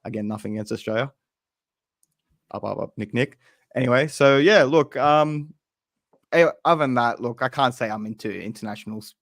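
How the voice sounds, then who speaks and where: A man's voice: mid-range voice, moderate pace, gravelly, pauses often, no echo, studio quality. A man hosting a casual football podcast with his co-hosts, talking loosely and restarting his sentences. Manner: rambling, restarts a lot. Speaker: podcast host.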